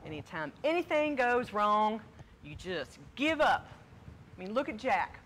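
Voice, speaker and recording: An actress playing a cautious, scolding older sister in a stage play, blunt and exasperated. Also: female voice, mid-range voice, big pitch swings, measured pace, a bit bright, slight background noise. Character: scolding, cautious, embittered older sister, set in her ways.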